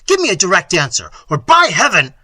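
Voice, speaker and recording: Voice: medium pitch, huge pitch swings, unhurried, bright, forceful tone, husky, no echo, studio quality, voice pushed up, full character voice. A narrator voicing an imperious, hot-tempered prince in a storybook, giving commands in impatient, archaic language. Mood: furious, impatient.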